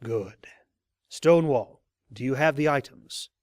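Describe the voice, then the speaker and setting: A man playing a coldly polite, sinister pony cult leader in an audio drama, speaking in a measured, formal and menacing way. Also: mid-range voice, no echo, studio quality, close to an everyday voice. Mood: commanding. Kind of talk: fan audio drama acting.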